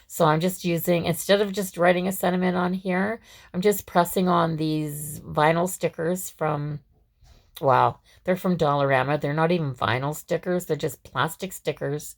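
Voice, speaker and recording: A woman with a scratchy, hoarse voice, chatting through a craft tutorial at her work table with lots of fillers and restarts. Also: low voice, steady tone, normal speed. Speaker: craft vlogger. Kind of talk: casual step-by-step craft tutorial.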